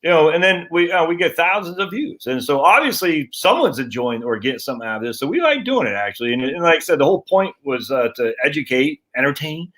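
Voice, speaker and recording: A man's voice: fairly high pitch, big pitch swings, quick pace, few pauses, a bit bright. A man in his forties hosting a webinar, talking in a chatty, informal way with casual slang over a video call from a room at home. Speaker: webinar host.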